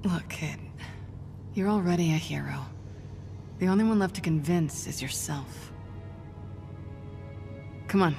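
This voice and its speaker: A woman's voice: fairly low pitch, measured pace, creaky, long pauses, darkish tone, faint music behind. A woman playing a tough but reassuring spy hero in a video game, wry and no-nonsense. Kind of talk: video game cutscene acting.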